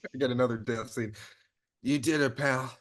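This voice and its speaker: A man's voice: medium pitch, fairly even intonation, moderate pace, close to an everyday voice. A man acting a wounded, dying pal in a comedy table read, casual and sheepishly brave. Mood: chummy.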